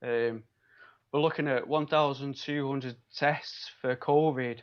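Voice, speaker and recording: A man's voice: mid-range voice, fairly even intonation, takes it slowly. Manchester man on dull call audio. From Manchester, England. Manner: earnest, many ums and restarts. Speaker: guest, biomedical scientist. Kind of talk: casual interview talk. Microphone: video call.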